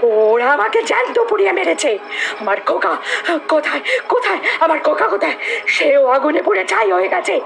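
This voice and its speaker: A woman's voice: high voice, big pitch swings, moderate pace, vocal fry, full character voice. A woman playing a possessed woman, the vengeful spirit of a burned mother, muttering and wailing as she repeats her words in a horror audio story. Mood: frantic grief.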